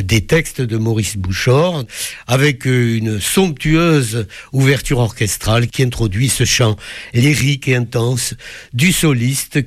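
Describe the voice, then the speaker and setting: A man's voice: mid-range voice, dry recording, studio quality. A man giving an enthusiastic spoken concert review as a music critic, effusive and fond of literary turns of phrase.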